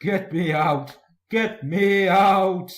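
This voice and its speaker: A man's voice: high-pitched, very slow, echoey room, voice pushed up. A man voicing a pompous, huffy big male steam engine for a storybook, spluttering with indignation. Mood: grumbling, defiant.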